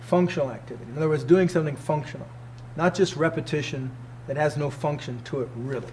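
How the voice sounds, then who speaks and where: A man's voice: on the high side, fast, darkish tone, slight background noise. A man in his sixties, a martial arts teacher, giving an informal talk to students, emphatic and fond of rhetorical questions. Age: 60s.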